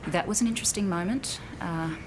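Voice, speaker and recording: Woman acting a lowish-voiced bank officer, noisy recording. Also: brisk, lightly acted. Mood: measured, reflective. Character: composed, firm but sympathetic female bank officer debriefing a colleague. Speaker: actor in a corporate training drama. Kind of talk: naturalistic acted workplace dialogue. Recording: clearly noisy location sound with room ambience.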